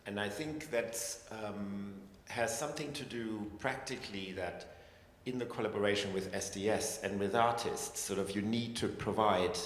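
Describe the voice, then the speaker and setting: A man's voice: on the low side, moderate pace, hardly any pauses. A man speaking as a scientist on a panel, reflective and hesitant, with many restarts. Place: large echoey hall. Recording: echoey hall with long reverb, faint ambience.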